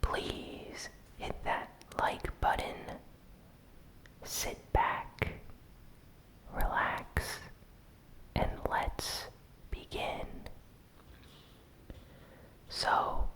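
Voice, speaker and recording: A host whispering a gaming news recap in a soft, unhurried ASMR style. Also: rough recording. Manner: slow, soft, unvoiced whispering.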